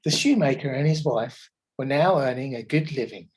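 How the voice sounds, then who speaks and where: A teacher with an English accent reading a children's story aloud with expressive delivery, then asking the listeners questions about it. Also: male voice, medium pitch, moderate pace, a little hiss or hum, low-quality recording.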